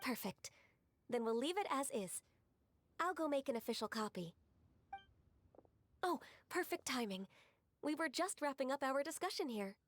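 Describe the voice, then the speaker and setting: A gentle, diligent young secretary from an anime game. She speaks in a high voice, formal, measured and courteous. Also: animated. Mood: polite.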